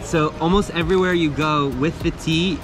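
A man's voice: on the high side, fairly even intonation, moderate pace, over music. Upbeat man vlogging in a mall. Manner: upbeat, curious, chatty. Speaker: travel vlogger. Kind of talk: travel vlog with voice-over narration. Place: large indoor shopping mall. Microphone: action camera held at arm's length.

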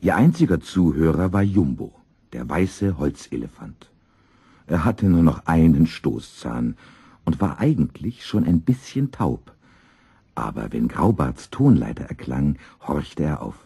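A man narrating a scripted children's story as an expressive storyteller. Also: very deep voice, a little slow, slightly rough.

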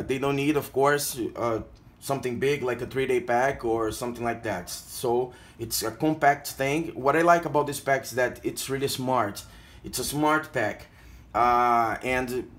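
A man's voice: mid-range voice, fairly bright tone. A man from Brazil with a Brazilian accent, reviewing gear casually and hesitantly, with lots of fillers. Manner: hesitant, heavy use of fillers. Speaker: product reviewer. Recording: echoey room sound.

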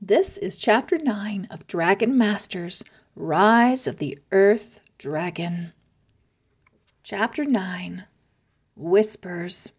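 A woman narrating a children's storybook aloud as host, in an expressive and deliberate manner. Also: medium pitch, breathy edge.

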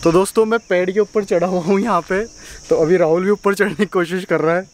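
Young man, upbeat chatty vlogger, slightly high voice, handheld camera outdoors, light wind and insect noise. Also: fast. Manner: chatty, excitable, laughs often. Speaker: moto vlogger. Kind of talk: casual vlog chat to camera. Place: outdoors on a windy hillside by a dam. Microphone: action camera held at arm's length.

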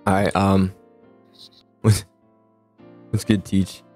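A young man improvising a casual game roleplay as a student, slangy and excitable, often stammering and repeating himself. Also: sits low, very slow, faint music behind. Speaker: roleplay video host playing a student.

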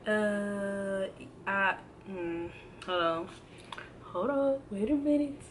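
A woman in her early twenties chatting casually to camera, answering a list of questions in a relaxed, hesitant way with many pauses and restarts. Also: mid-range voice, a little hiss or hum. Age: 20s. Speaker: vlogger. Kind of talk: casual tag video chat. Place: indoors at home, in front of a backdrop. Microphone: camera framed close, seated.

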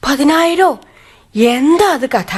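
A woman's voice: high voice, takes it slowly. A woman with a Kerala accent playing an anxious, fretful village mother of a grown son in a radio drama. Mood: worried. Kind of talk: Malayalam radio drama acting.

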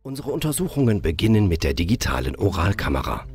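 A man's voice: deep voice, sing-song. A man reading a formal, scripted voice-over for a clinic promo. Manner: formal, measured. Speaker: promo narrator. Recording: voice-over with guitar music. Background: guitar music.

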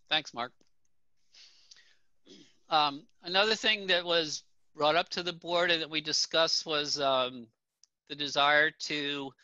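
A middle-aged man leads an online town hall from his home office, his very bright voice sitting a little high. He talks plainly, with ums and restarts along the way. The webcam sound is dull and dry. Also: smooth. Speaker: association president presenting at a town hall. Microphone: video call webcam. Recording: dull, dry video call audio.

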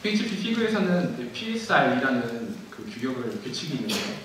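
A young man giving a technical keynote at a conference, speaking in a measured way with frequent fillers and restarts. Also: medium pitch, big pitch swings, fairly bright tone, a little hiss or hum. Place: large dark auditorium. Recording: echoey hall from far off.

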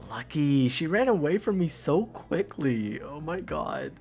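Casting: male actor as shy young best friend for roleplay audio, unhurried, soft, hesitant, very expressive pitch, caring and teasing. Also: soft background music, exaggerated voice. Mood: amused, affectionate. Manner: soft, hesitant, stammering, breathy sighs and chuckles. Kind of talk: intimate ASMR roleplay audio.

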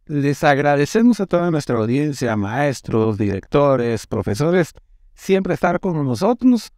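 A man hosting a studio interview in an animated, emphatic way. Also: on the high side, measured pace, no echo. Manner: animated, emphatic, lots of gestures. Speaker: host. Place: studio set with classroom backdrop. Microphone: lapel mic.

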